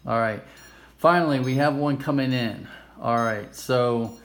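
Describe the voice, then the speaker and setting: A man's voice: mid-range voice, measured pace. A man hosting a casual hands-on game demonstration, explaining things informally with a self-amused, nerdy enthusiasm. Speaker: host of a retro game play-through video. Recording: clearly noisy amateur recording.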